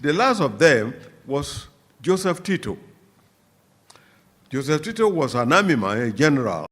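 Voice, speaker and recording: A man's voice: a little high, very animated, unhurried, fairly bright tone, breathy edge, long reverb tail. A man giving political commentary on a radio show, talking insistently and cutting in to keep the floor. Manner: insistent, cuts in to hold the floor.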